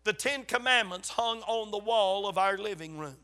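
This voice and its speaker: An older man with a Southern US accent preaching in a folksy, emphatic storytelling way, his voice slightly raspy from a lingering cold. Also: high voice, moderate pace, pushed and bright. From South Carolina, USA. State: getting over a cold. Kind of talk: sermon to a congregation.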